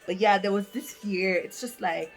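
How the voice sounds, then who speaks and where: A woman's voice: medium pitch, normal speed, soft background music. Candid woman in her twenties thinking aloud. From Africa. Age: 20s. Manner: candid, thinking aloud with many fillers and restarts. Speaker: vlogger. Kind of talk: casual reflective talk to camera. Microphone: camera mic, reading from notes.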